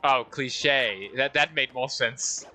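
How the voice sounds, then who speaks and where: A man's voice: mid-range voice, slow pace, pushed and bright, faint music behind. A man streaming a game, rambling and joking at himself as he talks through it. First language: Danish. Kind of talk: casual game livestream commentary.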